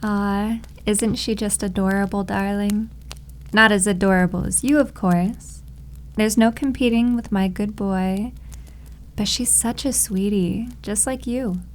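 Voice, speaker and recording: A woman's voice: mid-range voice, steady tone, a little slow, close to an everyday voice. A woman acting as a doting, possessive maid girlfriend in an ASMR roleplay, cooing and confidently teasing.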